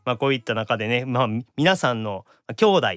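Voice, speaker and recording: A man with a Kansai accent hosting a podcast, reacting with lively, casual retorts. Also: mid-range voice, smooth, dry recording, studio quality. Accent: Kansai Japanese. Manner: animated, quick-reacting straight man who retorts. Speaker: podcast host. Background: faint background music.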